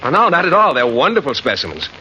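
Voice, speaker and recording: Shy polite naive teacher guy, clear forward tone, muffled hissy old radio recording. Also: fairly high pitch, very animated, fast, sharp tone. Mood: flustered. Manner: hesitant, polite, earnest. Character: shy, earnest, naive male biology teacher. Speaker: biology teacher character. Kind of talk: 1940s-50s radio sitcom acting. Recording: band-limited, noisy old radio recording.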